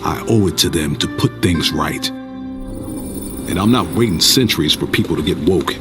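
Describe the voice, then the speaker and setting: An earnest American officer reflects in a deep voice. His resolute game cutscene narration has music running underneath. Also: a little slow.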